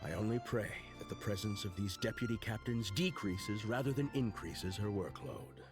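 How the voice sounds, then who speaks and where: A man plays a devout video game knight with a lowish voice. He is weary, formal and measured, gently skeptical, with music clearly audible underneath. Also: close to an everyday voice.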